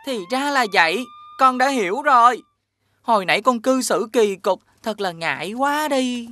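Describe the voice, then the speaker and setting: A woman's voice: a little high, expressive, normal speed, fairly bright tone. A woman voicing a kind, earnest young schoolboy in a children's cartoon, with a Southern Vietnamese accent. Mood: sheepish, polite. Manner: earnest, polite. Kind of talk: animated children's cartoon dubbing. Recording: music behind the voice.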